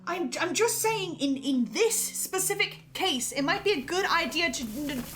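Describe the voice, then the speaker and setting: A woman's voice: very high voice, animated, moderate pace, fairly bright tone, faint music behind. A woman playing an anxious, self-doubting male archivist with a stammering, nervous delivery, in an audio drama. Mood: defiant. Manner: stammering, nervous, breaking into hesitations.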